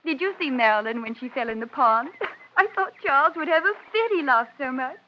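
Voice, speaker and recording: A woman's voice: sits high, a little fast, close to an everyday voice. An actress playing a breezy, teasing young woman in an old-time radio drama, airy and a little condescending. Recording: dull, noisy vintage radio recording.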